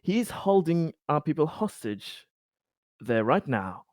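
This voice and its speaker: A man playing an urgent colony insider in a tabletop roleplay, speaking insistently and haltingly as he warns of danger. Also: fairly high pitch, sing-song, dry recording, studio quality, pitched above the performer's own voice. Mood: grave, wary. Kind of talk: improvised in-character roleplay.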